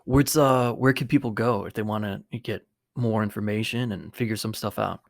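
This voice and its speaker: A man's voice: on the low side, fairly even intonation, normal speed, vocal fry. A man hosting a talk show, interviewing casually and presenting to his viewers.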